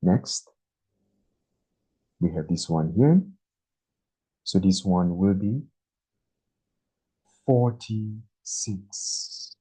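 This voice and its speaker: A man in his twenties teaching a beginner English lesson, speaking very slowly and deliberately and repeating each number. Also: low-pitched, lively intonation, mellow, husky. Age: 20s. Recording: dull lo-fi recording.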